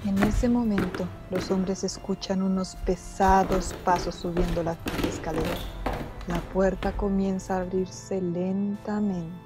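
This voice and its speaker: A woman's voice: mid-range voice, little pitch movement, measured pace, over music, natural voice. A woman with a Mexican accent as the storyteller of a suspenseful radio mystery, reading scripted narration.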